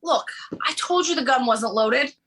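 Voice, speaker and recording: A woman playing a brash, boastful, streetwise male carjacker in a comic table read, loud, swaggering and profane. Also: high-pitched, animated, moderate pace. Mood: indignant.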